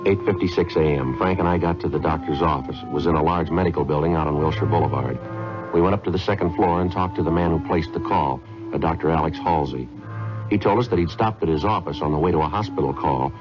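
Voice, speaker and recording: A low-pitched, stoic detective sergeant delivers clipped, deadpan lines in 1950s radio drama style. He is matter-of-fact and terse. The old recording is dull, with music prominent around the voice. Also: fast. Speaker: lead actor and narrator. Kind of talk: 1950s radio acting, clipped scripted narration.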